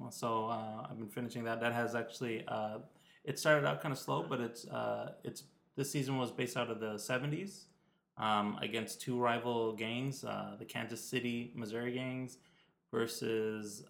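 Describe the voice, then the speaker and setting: A man's voice: mid-range voice, gravelly, fairly bright tone. A young man co-hosting a casual podcast while sick with a cold, talking in a meandering, hesitant way with many ums. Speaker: podcast co-host. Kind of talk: casual chat. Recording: echoey room.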